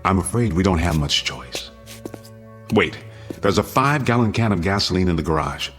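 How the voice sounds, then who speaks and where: Give the voice of an American father in a radio drama. He is low-pitched and swings widely as he commands. He is earnest and steady over real anxiety, with music underneath. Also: normal speed. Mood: grave, earnest. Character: anxious but steady father preparing family for nuclear attack.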